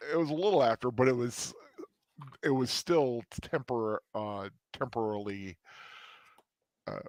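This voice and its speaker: A man co-hosting a casual review discussion, talking in a hesitant, halting way with many restarts. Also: medium pitch, darkish tone, no room sound. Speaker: co-host.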